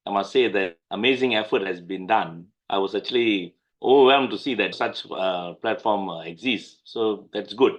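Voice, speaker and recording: A man's voice: on the low side, moderate pace, few pauses, dull audio. A middle-aged man with a Malaysian English accent, answering interview questions in an emphatic, conversational way and often repeating his points. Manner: emphatic, repeats points, laughs while recalling. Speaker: guest lawyer.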